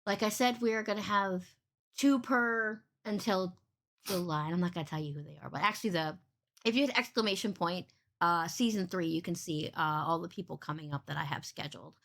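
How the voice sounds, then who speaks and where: A woman hosting a casual livestream podcast, rambling cheerfully and swearing freely, with a big boomy voice. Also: brisk, creaky, fairly bright tone. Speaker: podcast host and streamer.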